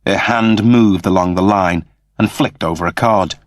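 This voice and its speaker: A man's voice: low voice, moderate pace, no room sound, clean studio sound. A man giving a scripted narration of comic science fiction as an audiobook narrator.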